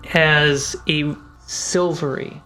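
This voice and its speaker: A game master narrating a fantasy tabletop game in a dramatic, deliberate way with pauses. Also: mid-range voice, steady tone, a little hiss or hum, rough recording. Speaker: game master and show host. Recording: loud music underneath. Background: loud cinematic music.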